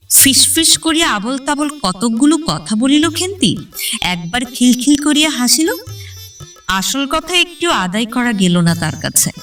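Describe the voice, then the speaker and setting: A woman's voice: on the high side, fairly bright tone, far from the mic. A woman reading literary narration in a dramatic, scripted audio-story style. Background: background instrumental music.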